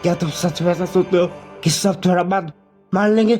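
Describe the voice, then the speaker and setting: A man's voice: high voice, steady tone, fairly quick, over music, close to an everyday voice. A man voicing an earnest, loyal young ninja hero who appeals to his rival, in an amateur anime fan dub. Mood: questioning, earnest.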